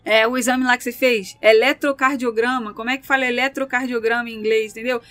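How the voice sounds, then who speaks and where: A woman's voice: on the high side, fairly even intonation, fast talker, sharp tone, no room sound. A Brazilian woman with a Brazilian Portuguese accent, chatting casually and explaining things through lists of examples. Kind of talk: casual chat.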